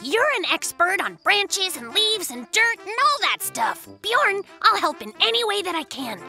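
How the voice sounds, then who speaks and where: Hyper show-off cartoon bear cub, super high n bright, slangy, loves gadgets. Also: very animated, unhurried, gravelly, soft background music, full character voice. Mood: helpful, confident. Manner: boastful, exclamatory, slangy. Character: excitable cocky young polar bear, gadget-loving, boastful. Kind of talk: children's cartoon dub acting.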